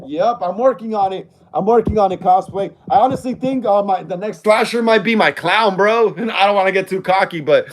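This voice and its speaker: A man's voice: sits high, a little fast, hardly any pauses, slight background noise. A man in his thirties hosting a casual livestream, reading viewer comments aloud in a laid-back way and often stammering and repeating himself. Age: 30s. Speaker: livestream host.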